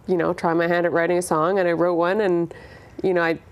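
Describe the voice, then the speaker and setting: A young adult woman with a Canadian accent speaks in a fairly low voice as an interview guest. She chats informally, feeling her way through her thoughts as she goes. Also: little pitch movement, fast. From Canada, born in Ireland. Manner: informal, thinking aloud. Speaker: interview guest, singer-songwriter. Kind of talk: casual chat.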